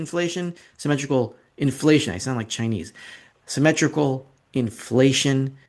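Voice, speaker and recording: A man hosting an investing video report, talking through charts in a casual, opinionated, rambling way with sarcastic asides. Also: medium pitch. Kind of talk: casual market commentary with slides.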